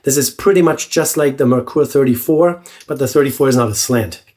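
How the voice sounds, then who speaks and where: A man's voice: medium pitch, a little fast. Congested man, nasal and chatty. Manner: relaxed, chatty, with many fillers and restarts. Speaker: shaving channel host. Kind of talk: casual product review vlog.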